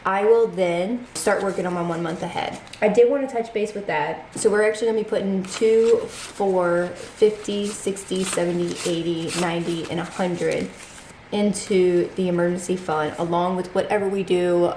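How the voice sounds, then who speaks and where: A congested woman talks casually through her weekly budget, reading out numbers at an unhurried pace. Her voice sits at a middle pitch and rings a little in an echoey room, with a faint hum of noise behind her. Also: a little mellow. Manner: chatty, reads out figures slowly. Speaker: budgeting channel host.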